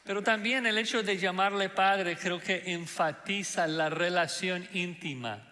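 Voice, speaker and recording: A man preaching a sermon as pastor, speaking deliberately with frequent "ah" fillers; Spanish is not his first language. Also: high voice, bright, forceful tone. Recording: long hall reverb.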